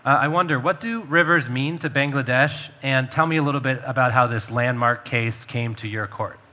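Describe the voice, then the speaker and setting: A man moderates a conference panel, asking questions in fluent, articulate speech with the odd filler. His voice has a bright, forward tone. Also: medium pitch, normal speed. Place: conference stage.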